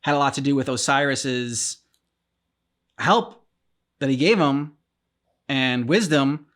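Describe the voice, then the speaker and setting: A man co-hosting a game reaction show, chatting casually with halting, rambling sentences full of "like" and "um". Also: medium pitch, fairly bright tone. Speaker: co-host of a game reaction channel.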